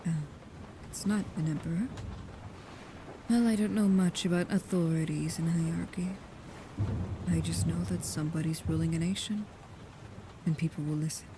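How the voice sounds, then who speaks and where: A woman playing a devoted, proud and guarded vampire protector in an intimate ASMR roleplay, speaking softly and haltingly, often dropping into a whisper. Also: on the low side, fairly even intonation, lightly acted. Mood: deferential, tender. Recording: clear background noise with ambience.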